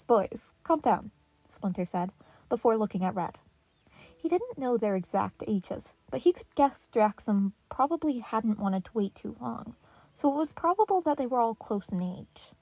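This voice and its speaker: A woman reading fan fiction aloud in an even storytelling manner. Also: medium pitch, dry recording, low-quality recording. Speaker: podfic reader.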